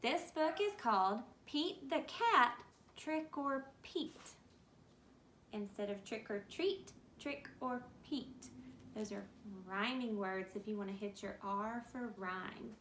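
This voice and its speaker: A woman teacher reading a picture book aloud to children, gently pointing out the pictures and explaining. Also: on the high side, takes it slowly, slight background noise.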